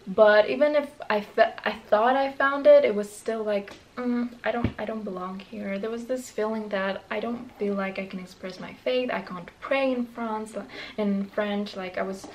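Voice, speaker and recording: A woman's voice: mid-range voice, steady tone, normal speed, a little hiss or hum, low-quality recording. A woman in her twenties with a Romanian accent, talking candidly to camera in a small bedroom, rambling with many fillers and restarts. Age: 20s. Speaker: vlogger. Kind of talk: casual talk to camera.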